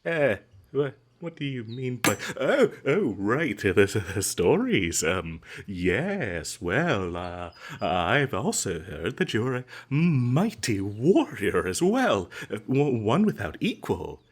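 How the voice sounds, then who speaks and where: Guy acting as a nervous adventurer in a fantasy roleplay, slow n stammery, flattering and dodgy, voice swings up and down a lot. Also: mid-range voice, breathy edge, exaggerated voice. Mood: flustered, ingratiating. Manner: stammering, hesitant, ingratiating, nervous chuckles. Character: smooth-talking, nervous captured adventurer, flattering and evasive. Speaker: voice actor. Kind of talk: comedic fantasy audio roleplay.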